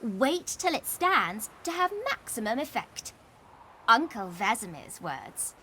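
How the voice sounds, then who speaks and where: A woman's voice: a little high, takes it slowly, a little hiss or hum, heavily acted. A cheeky young witcher trainee. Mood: clever. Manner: cheeky, lively, playful.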